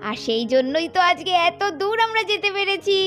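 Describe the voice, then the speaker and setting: A woman narrating a doll cartoon and voicing the young women in it, brisk and expressive. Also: very high voice. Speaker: narrator and voice of many dolls.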